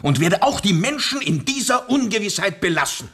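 An actor in a radio play playing an embittered, ruthless Russian revolutionary with an impassioned, declamatory delivery. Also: male voice, very animated, moderate pace, husky, exaggerated voice. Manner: impassioned, declamatory, swinging from low to loud.

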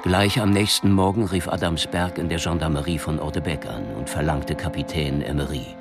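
A man's voice: rumbling low, steady tone, fairly quick. A man narrating a crime story as a sober storyteller in a scripted radio drama. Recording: clear music behind narration.

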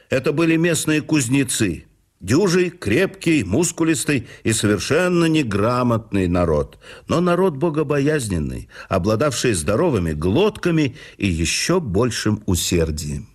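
A man reading a memoir aloud in a measured, expressive manner as the narrator of an audio production. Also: mid-range voice.

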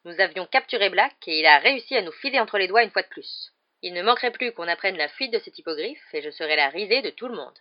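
Une femme qui interprète dans un livre audio un ministre contrarié, soucieux, prompt à protester. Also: female voice, on the low side, expressive, fast talker, few pauses, highs cut off, natural voice. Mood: worried. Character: flustered, irritated male government minister. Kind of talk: acted audiobook dialogue.

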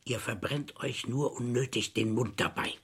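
A man's voice: medium pitch, normal speed, fairly bright tone, husky. An actor playing a stern, dry middle-aged father and investigator in a German radio crime drama, speaking with reproving authority. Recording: vintage radio play studio recording.

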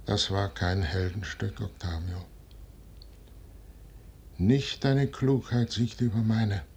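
An actor playing a proud, ambitious older military commander in a declamatory 1950s German radio play style. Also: male voice, fairly low pitch, fairly even intonation, slow pace, gravelly, darkish tone, breathy edge, exaggerated voice. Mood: wounded, grave. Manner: declamatory, theatrical. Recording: 1950s radio drama recording.

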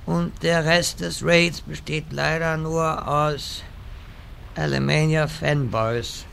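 A man acts out a secretive, theatrical quest-giver in a gaming parody radio play. He is bright and forceful with a gravelly edge, taking his time over ceremonious phrases. There is faint noise behind him. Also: a little high, steady tone, takes it slowly, heavily acted. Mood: hurried, apologetic. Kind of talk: comedic audio drama parody acting.

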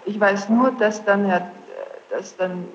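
A woman's voice: mid-range voice, fairly even intonation, takes it slowly. A young woman giving spontaneous testimony as a witness, defensive and repeating herself. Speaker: witness under questioning. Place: large echoing courtroom. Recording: dull, noisy archive courtroom tape, echoey hall.

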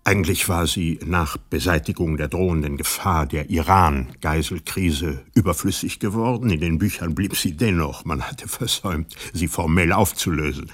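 An actor playing a sober investigator who narrates a radio play in a deliberate, measured, husky way. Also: male voice, low voice. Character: sober male investigator recounting a conspiracy he uncovered.

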